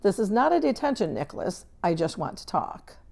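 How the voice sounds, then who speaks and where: A woman voicing a stern, controlled older female schoolteacher in a storybook, speaking in a measured, precise, formal way. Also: mid-range voice, big pitch swings, vocal fry, darkish tone. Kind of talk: storybook read-aloud character dialogue.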